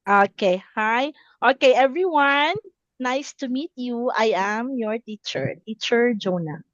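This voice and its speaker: A woman's voice: a little high, lively intonation, slow pace, no echo, dull audio. A woman in her thirties teaching a simple English lesson, encouraging, repeating words and checking understanding. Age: 30s.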